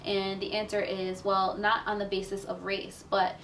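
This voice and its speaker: A woman's voice: medium pitch, level tone, moderate pace, a bit bright, far from the mic, slight background noise, poor audio. A woman who works in student support, explaining conversationally with everyday examples. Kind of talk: documentary interview.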